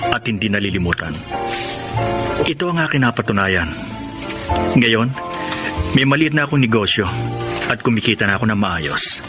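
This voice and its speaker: A man's voice: lowish voice, expressive, normal speed, long pauses, lightly acted. A man playing a humble, grateful ex-convict in a radio drama, speaking politely and earnestly. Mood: earnest, reflective. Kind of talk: dramatic radio drama acting and first-person narration. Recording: radio drama with music underneath. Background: clear background music.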